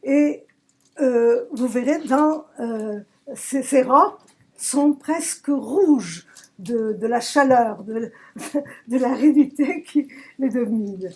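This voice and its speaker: A woman from southern Italy, a poet giving a reflective talk about her work, searching for words with emphatic pauses. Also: a little high, a little mellow, breathy edge. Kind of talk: reflective talk at a poetry recital. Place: public library event.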